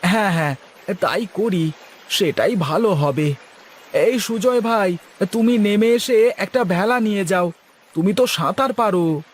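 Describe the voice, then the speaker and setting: Man voicing a high-pitched, helpful village husband. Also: unhurried, long pauses, a little hiss or hum. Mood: urgent calling. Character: kindly, practical village husband, helpful to his neighbours. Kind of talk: Bengali moral-story cartoon dialogue.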